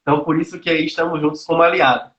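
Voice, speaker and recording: A man's voice: on the high side, steady tone, rapid-fire, fairly bright tone, lo-fi sound. A young man from Salvador, Brazil, a nutrition student explaining his views earnestly on a video call, thinking aloud with frequent restarts and fillers. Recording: roomy echoey call audio.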